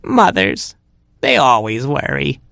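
High-pitched grumbling old granny robin. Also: very animated, slow, creaky, a bit bright, no echo, clean studio sound, exaggerated voice. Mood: reassuring. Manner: complaining, fussy old-lady delivery.

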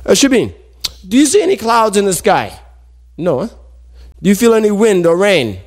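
A lazy old uncle from a folktale, acted out by a male storyteller. He pitches high and swings widely as he wheedles and whines. He is greedy and gullible, drawing out his pleas and repeating himself. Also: sing-song. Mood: puzzled, coaxing. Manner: whining, drawn-out pleas with repeated words.

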